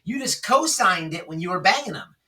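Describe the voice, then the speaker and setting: Man, forceful bright tone, slightly distant from the mic, casual commentary host, emphatic and repetitive. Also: sits high, big pitch swings, brisk. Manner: emphatic, repetitive, checks in with "okay?" and "right?". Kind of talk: casual drama commentary, off-the-cuff.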